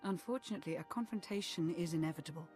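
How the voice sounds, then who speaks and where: An actress playing a steady, loyal female military adviser in a video game, speaking in a measured, formal way. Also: lowish voice, faint music behind, close to an everyday voice. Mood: grave, resolute. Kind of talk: video game cutscene acting.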